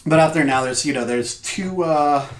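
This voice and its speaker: A man running a tabletop horror game, narrating casually and informally in a halting, jokey way. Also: on the high side. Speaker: game master. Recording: roomy echo, faint noise and clicks.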